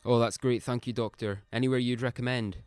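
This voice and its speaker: Guy playing anxious victorian brother in a radio play, talks fast n polite, dry sound. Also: mid-range voice, steady tone, a little mellow, smooth, no room sound, natural voice. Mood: concerned, polite. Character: anxious Victorian brother of an injured young woman. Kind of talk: radio drama acting.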